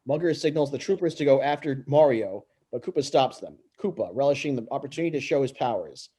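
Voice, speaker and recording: A man reading stage directions aloud in an amateur script read-through, halting and tripping over words. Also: mid-range voice, lively intonation, mellow, breathy edge.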